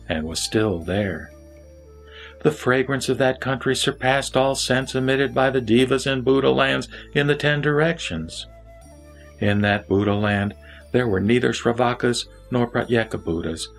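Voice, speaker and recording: A man reciting a Buddhist scripture as a formal, measured narrator over background music. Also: mid-range voice.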